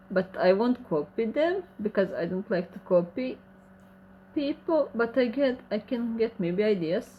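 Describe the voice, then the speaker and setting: Host: woman, Croatian accent, reads chat live and answers it, mid pitch, faint background hiss. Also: darkish tone. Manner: reads viewer comments aloud and answers them, light laughs. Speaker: channel host reading chat questions. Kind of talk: casual livestream Q&A.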